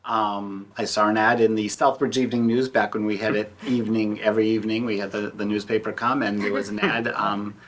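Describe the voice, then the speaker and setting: Guy with a lowish voice, talking casually as a panelist. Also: level tone, a little fast, hardly any pauses, a bit bright. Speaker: panelist, community theater actor.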